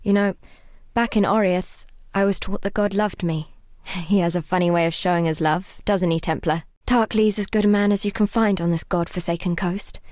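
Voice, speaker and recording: Actress as a solemn, weary young healer, dry recording. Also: female voice, on the low side, steady tone, moderate pace, a little mellow, no echo, lightly acted. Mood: wry, weary. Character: devout, weary young woman healer, exiled on a grim coast. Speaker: non-player quest giver. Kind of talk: dramatic fantasy game dialogue.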